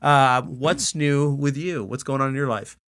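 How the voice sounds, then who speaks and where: A man's voice: mid-range voice, measured pace, fairly bright tone. A man hosting a podcast in a casual chat, talking while he has a cold. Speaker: podcast host.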